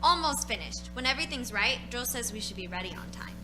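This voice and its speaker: A high, childlike voice of a young daughter in a radio play, acted by a woman. She is eager and slangy, dodging questions and scorning doubters, with music in the background. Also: lively intonation, quick pace, lightly acted. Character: eager, evasive young daughter playing invasion.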